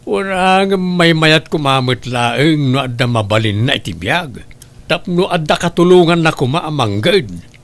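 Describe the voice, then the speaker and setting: A man's voice: on the high side, expressive, unhurried, gravelly, a bit bright, full character voice. A man with a Filipino accent playing a stern, nagging middle-aged father and uncle in a comedy drama, scolding and questioning. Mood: probing. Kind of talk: amateur comedy drama acting.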